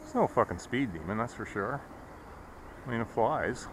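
A man's voice: mid-range voice, animated, normal speed. A man chatting casually and bluntly as he flies hobby planes, with wry, sweary remarks, recorded outdoors in an open field. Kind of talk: casual chat while flying. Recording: noisy outdoor action-camera sound.

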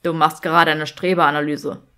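A woman's voice: low voice, flat delivery, brisk, sharp tone, clean tone, pitched below the performer's own voice. A woman voicing a sardonic, grumbling young male wizard in an audiobook, dry and emphatic.

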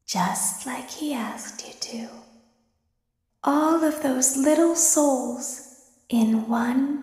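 A woman's voice: a little high, reverberant, big-room sound. A woman voicing a menacing animatronic clown girl in a horror game, speaking in a sly whisper.

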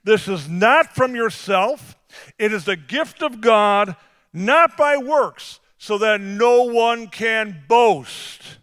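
A man's voice: high voice, expressive, smooth. Man preaching with a big voice. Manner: swings from quiet asides to shouted emphasis; drawn-out emphatic phrasing on key words. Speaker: pastor. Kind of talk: sermon.

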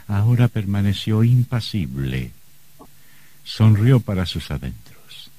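Very deep, slow male narrator. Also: warm tone, husky. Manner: solemn, measured, suspenseful. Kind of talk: dramatic scripted radio narration.

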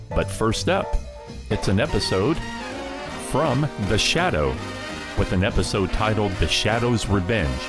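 A man hosting, reading a scripted podcast introduction. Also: fairly low pitch. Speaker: host.

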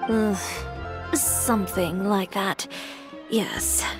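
A woman's voice: medium pitch, steady tone, very slow, over loud music. A woman playing a self-assured young fashion designer in a video game, composed, blunt and matter-of-fact. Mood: cool.

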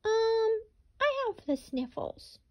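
A woman doing a high sniffly rabbit girl. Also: very animated, takes it slowly, full character voice. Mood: apologetic. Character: timid sniffly female rabbit.

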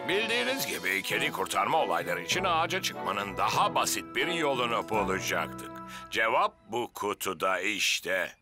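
A man voicing a brisk, long-suffering older fire station chief in a cartoon, with an authoritative, instructive manner. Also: fairly low pitch, very animated, music in the background. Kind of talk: Turkish cartoon dub acting.

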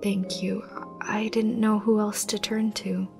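An anxious young girl in a cartoon drama, guarded and cunning. Her creaky voice slips into breathiness as she pleads earnestly. Music runs beneath the dialogue. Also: mid-range voice, flat delivery, slow pace. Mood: anxious, pleading.

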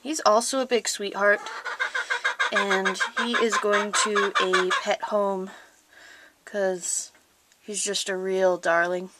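Unhurried chatty woman on a farm tour. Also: mid-range voice, steady tone. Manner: chatty, talks baby-talk to her animals. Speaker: small farm owner showing her animals. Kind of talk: casual handheld farm tour. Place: outdoor coop and rabbit hutches. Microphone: handheld camera held close. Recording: noisy outdoor camera audio. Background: clucking chickens and birds.

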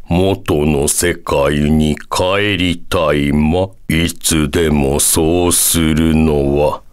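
A man voicing a solemn, long-lived devil in a Japanese video game, pompous and drawn-out, with a quirky verbal tic at sentence ends. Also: very deep voice, smooth, heavily acted.

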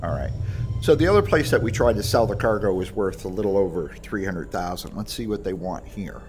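A man's voice: low voice, animated, a little fast, soft background music. A man giving casual, explanatory gameplay commentary in an informal way. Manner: informal, explanatory, issues short voice commands. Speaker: game video presenter.

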